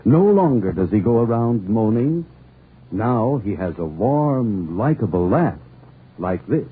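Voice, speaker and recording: Animated male announcer on tinny old radio. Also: lowish voice, measured pace, background noise. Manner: polished, theatrical, emphatic. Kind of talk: 1940s radio announcing and ad storytelling.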